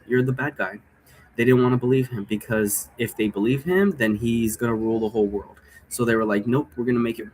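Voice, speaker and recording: A man's voice: fairly low pitch, rough recording. Man rambling casually, faint background noise. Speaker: game creator explaining rules. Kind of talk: casual rambling explanation to camera.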